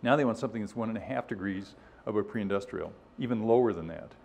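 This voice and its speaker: A man's voice: on the low side, fast talker, creaky, darkish tone. A man presenting at a scientific workshop in an informal, conversational way, explaining things and voicing other people's positions as he goes.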